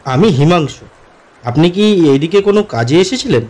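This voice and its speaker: A man playing an uneasy office commuter who narrates his own horror story in an expressive, atmospheric way for a cartoon. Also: a little high, moderate pace. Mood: friendly, polite. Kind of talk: dramatic first-person horror story narration.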